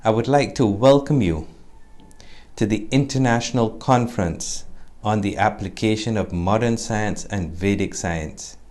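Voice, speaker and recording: A man's voice: on the low side, a little slow, a little mellow. A man from Barbados, formally welcoming listeners to a conference as its presenter. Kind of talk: formal announcement.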